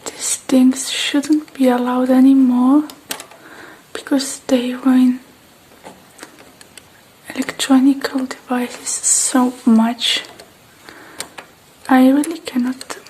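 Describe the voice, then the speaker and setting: Slow, hushed woman doing ASMR roleplay. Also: high-pitched, little pitch movement, poor audio. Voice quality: soft, breathy whispering. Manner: hushed, slow, halting murmurs to the listener. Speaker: ASMR artist. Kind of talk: ASMR roleplay as a robot repair technician, soft-spoken and whispered. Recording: close ASMR mic with faint noise.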